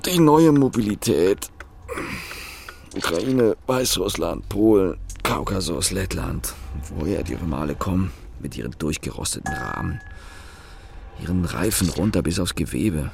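Sardonic worker in a radio play, really low croaky voice, grumbling in short fragments. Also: male voice, animated, a little slow, over music, heavily acted. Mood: sneering. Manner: sardonic, clipped fragments, cursing. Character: bitter, cynical working man commuting by car, muttering his thoughts. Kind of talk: radio play interior monologue.

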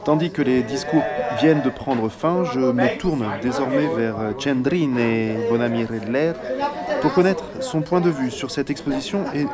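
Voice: medium pitch, moderate pace, over a noisy crowd. A narrator reading a scripted travel diary in the manner of a roving reporter. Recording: busy crowded street ambience behind.

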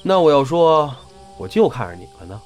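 Casting: male actor for a teasing elder-brother apprentice, young, animated delivery, audio drama over soft music. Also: fairly high pitch, moderate pace. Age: young adult. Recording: background music under the voice.

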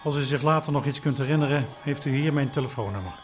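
An actor playing a matter-of-fact police detective in a Dutch radio drama, speaking in a businesslike way. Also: male voice, medium pitch, fast, soft background music, close to an everyday voice. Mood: probing.

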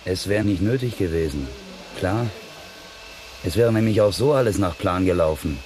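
An actor playing a menacing tough with a grudge in a German radio drama, curt, slangy and taunting. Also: male voice, deep voice, animated, normal speed, darkish tone, a little hiss or hum.

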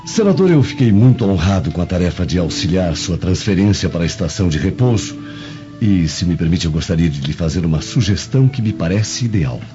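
A man's voice: sits low, lively intonation, brisk. A man playing a courteous, persuasive Roman guardsman in a radio drama, formal and obliging. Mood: deferential. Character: deferential, persuasive Roman guardsman advising a senator. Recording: dull lo-fi radio drama, music behind.

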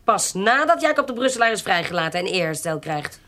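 A woman's voice: medium pitch, very animated, a little fast. Young noblewoman type, mid-range voice, proud, accusing, imperious delivery, period radio drama. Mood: scornful, defiant. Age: 20s. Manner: commanding, accusing, sharp-tongued. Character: proud, defiant young woman scheming to free a prisoner.

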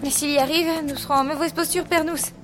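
A woman acting a bold, quick-witted adventurer sister in an audio drama, dry and commanding. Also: high-pitched, steady tone, natural voice. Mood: wry, determined. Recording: loud music behind.

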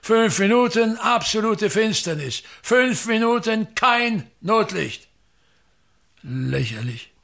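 An actor playing a vain, embittered older theatre actor and playwright in a radio play, domineering and declamatory, with an Austrian accent. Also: male voice, high voice, expressive, slow, vocal fry, a bit bright, full character voice. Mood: imperious, indignant. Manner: theatrical, declamatory, haranguing, repeats words.

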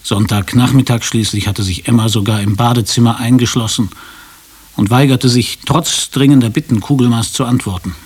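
An actor narrating a comic radio play as a detached storyteller, measured and matter-of-fact. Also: male voice, mid-range voice, steady tone, a little mellow, slightly rough, natural voice. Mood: detached narration. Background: music.

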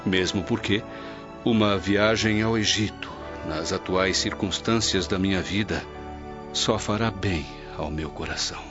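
An actor playing a sorrowful, resolute man in a radio drama, speaking formally and in measured phrases. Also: low voice. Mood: weary, resolved. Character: sorrowful, resolute person seeking spiritual consolation. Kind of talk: Brazilian radio drama acting. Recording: dull lo-fi audio under music. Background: music.

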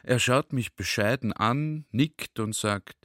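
A man's voice: medium pitch, slow pace, fairly bright tone, no room sound. A garrulous army general, holds forth to a comrade in a radio play. He is earnest and conversational, full of rhetorical questions, in a very dry, close recording. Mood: confiding, amused. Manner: conversational, rhetorical, checks listener is following.

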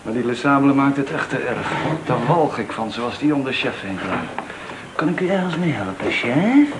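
A man's voice: mid-range voice, expressive, moderate pace, close to an everyday voice. A man playing a grumbling, mocking office clerk with a ladykiller's reputation in a radio play. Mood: sneering. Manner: sardonic, complaining. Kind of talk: Dutch radio play acting. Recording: noisy old radio recording with clicks.

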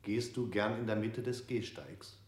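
A man's voice: lowish voice, a little slow, reverberant, heavy background noise, natural voice. An actor playing a pushy questioner in a radio play, repeating his questions insistently.

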